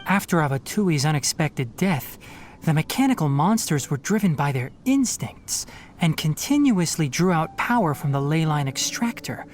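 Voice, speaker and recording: A man voicing a young forest ranger scholar in a video game, explaining things calmly and at a measured pace. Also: on the high side, soft background music.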